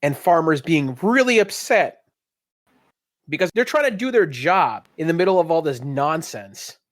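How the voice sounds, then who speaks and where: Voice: medium pitch, lively intonation, moderate pace, no echo. Game master narrating a tabletop game, teasing, sweary, kinda dramatic, very dry sound. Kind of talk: improvised tabletop game narration with casual banter.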